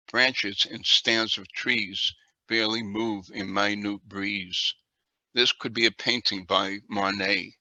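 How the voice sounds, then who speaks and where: A man's voice: lowish voice, pushed and bright, dry recording, dull audio. A man reciting his poetry line by line. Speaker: poet.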